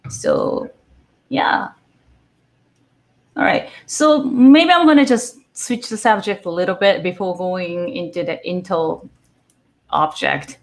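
Japanese accent lady on a webcam call, kinda low gravelly voice, rambly chatty tech talk w lots of um and so yeah. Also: takes it slowly, pauses often. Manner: chatty, lots of fillers and self-corrections. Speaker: guest developer speaker. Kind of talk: casual live-stream tech talk. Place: home room, indoors. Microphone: video call webcam.

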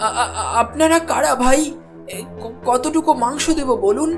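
A man's voice: extremely high pitch, measured pace, music in the background. A man voicing a nervous village meat-shop owner in a cartoon, stammering defensively. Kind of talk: Bengali cartoon dialogue.

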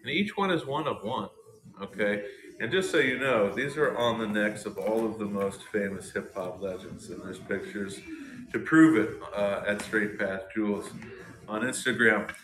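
A man in his thirties hosts a casual product showcase, full of excited, slangy enthusiasm. His voice sits fairly low. The sound of the small indoor room echoes around him. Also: normal speed.

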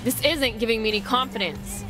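An actress as a sardonic, higher-voiced gangster woman. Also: a little fast, over music, close to an everyday voice, poor audio. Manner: dry, sarcastic. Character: poised, sardonic woman gang member. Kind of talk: video game voice acting.

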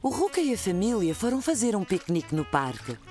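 Storybook narrator, a woman, European Portuguese accent, medium pitch, animated and expressive, soft music behind. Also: brisk, close to an everyday voice. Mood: storytelling. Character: kindly storybook narrator for young children. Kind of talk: scripted children's story narration.

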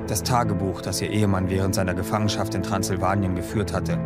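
A man playing an earnest young doctor who narrates a radio drama in a measured, serious way. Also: deep voice, little pitch movement, close to an everyday voice. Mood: sober, measured. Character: earnest young male doctor recounting events. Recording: loud music behind the voice.

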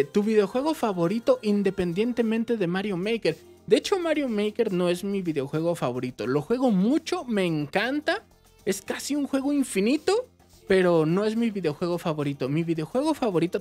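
Mexican guy, 30s, gaming vlogger, lively casual Q&A, soft music underneath. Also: sits high. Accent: Mexican Spanish. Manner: chatty, animated, waves arms while talking. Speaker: YouTube gaming channel host. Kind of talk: casual Q&A vlog. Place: small indoor room with game shelves.

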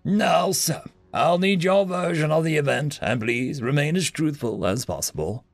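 A man's voice: a little high, moderate pace, gravelly, no room sound, faint music behind. A man voicing a weary giant alien officer. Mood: patient. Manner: calm, patient, procedural. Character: huge weary female alien police officer.

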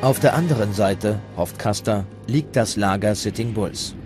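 A man's voice: lowish voice, unhurried. A man reading scripted documentary narration as the narrator. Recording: loud music bed under the voice. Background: loud music.

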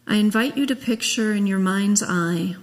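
A woman minister leading a guided meditation, speaking gently and in a measured way with long pauses. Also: medium pitch. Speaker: minister leading a memorial service. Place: large church sanctuary, at a pulpit. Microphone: gooseneck pulpit microphone. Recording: long echo of a church hall.

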